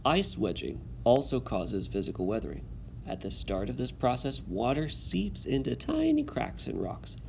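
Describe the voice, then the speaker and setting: A man teaching in an instructional video, reading a text aloud and pausing to give clear directions and check understanding. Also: mid-range voice, darkish tone, slight background noise.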